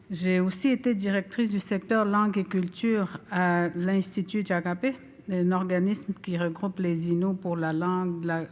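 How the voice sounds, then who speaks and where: An older woman whose first language is Innu, giving a conference presentation as a language teacher, talking slowly with frequent fillers and pauses. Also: on the low side, a little mellow. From Quebec, Canada. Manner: slow, hesitant, many fillers.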